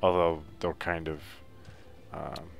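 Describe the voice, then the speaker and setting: A man's voice: very deep voice, little pitch movement, slow pace, creaky, faint music behind. A man giving a casual, unscripted video game walkthrough in a loose, hesitant, laid-back way. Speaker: video game guide narrator.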